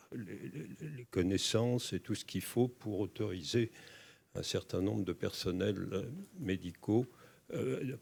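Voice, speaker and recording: A man making unscripted, reflective remarks at a round table, phrasing his points deliberately and with some hesitation. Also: low-pitched, gravelly, darkish tone. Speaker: conference participant making comments.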